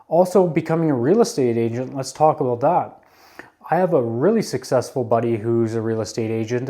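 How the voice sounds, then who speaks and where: A man's voice: medium pitch, dark tone. A man in his late thirties talking casually to camera, giving earnest, repetitive life advice about careers. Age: 30s. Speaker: advice vlogger.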